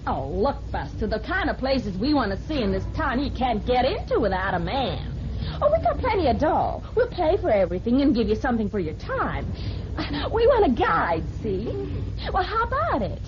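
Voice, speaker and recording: A woman's voice: a little high, wildly swooping pitch, moderate pace, music in the background. A woman playing a brassy, bold young American divorcee in a vintage radio drama, chatty and slangy. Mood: brash, pushy. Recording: muffled, noisy old radio recording.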